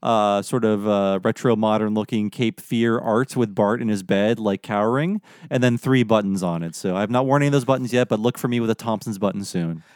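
Quick-talking man with a clear voice. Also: lowish voice, a little mellow, no room sound, clean studio sound. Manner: wry, joking. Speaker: podcast co-host. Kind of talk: casual fan chat.

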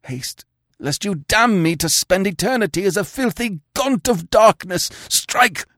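A man's voice: high voice, expressive, slow pace, vocal fry, no room sound, clean studio sound, voice pushed up, heavily acted. A man voicing a bewitched, dying young king who pleads in anguish and gives imperious orders, in an audiobook reading. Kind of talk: audiobook character voice.

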